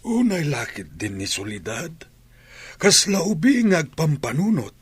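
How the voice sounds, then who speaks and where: Practical father with a husky voice man acting. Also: fairly high pitch, sing-song, measured pace, no echo, full character voice. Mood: reflective. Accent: Ilocano. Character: older father, practical and reasoning. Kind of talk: Ilocano radio drama acting.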